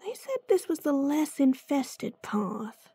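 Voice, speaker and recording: A woman voicing a gruff, impatient female bounty hunter in a fantasy audio drama, blunt and sardonic, sighing often. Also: high voice, very animated, slow pace, warm tone, no room sound, heavily acted. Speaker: voice actress.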